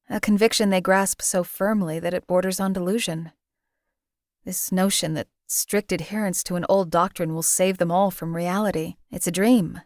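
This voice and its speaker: A woman's voice: lowish voice, a little mellow, dry recording, lightly acted. A woman voicing a cold, detached female assassin companion in a video game, talking in a dry, coolly contemptuous way.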